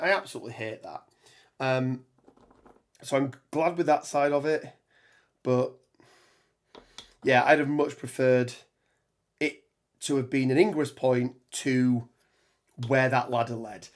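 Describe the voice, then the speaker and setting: A man with a hoarse voice from a cold, chatting on a live stream as he builds. He rambles, restarts sentences and fills pauses with ums. His pitch sits in a middle range. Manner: rambling, many ums and restarts, reads chat aloud. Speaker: tabletop gaming streamer. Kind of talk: casual live stream chat while building terrain.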